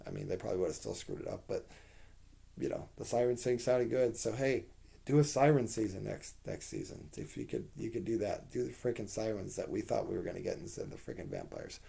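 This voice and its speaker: Hoarse, gravelly low-voiced man. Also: animated, fast, dark tone. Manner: rambling and candid, with frequent fillers and swearing. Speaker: TV reviewer. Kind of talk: casual spoken review.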